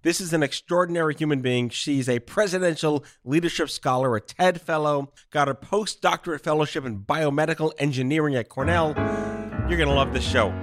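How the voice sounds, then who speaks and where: A man's voice: fairly high pitch, brisk, a bit bright, soft background music. A man hosting a podcast interview, effusive and joking in casual chat. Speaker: podcast host.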